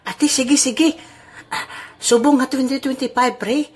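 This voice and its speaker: A woman's voice: sits high, fairly even intonation, a little slow, breathy edge. A woman voicing a cheerful, laughing old grandfather in an amateur audio drama. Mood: jolly. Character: jolly old man, Lolo Albeno, money-minded.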